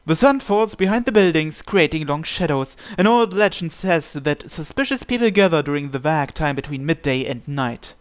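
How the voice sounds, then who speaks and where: A man's voice: fairly high pitch, lively intonation, moderate pace, few pauses. A man hosting a let's-play, reading a visual novel's narration and every character's lines aloud in his own voice.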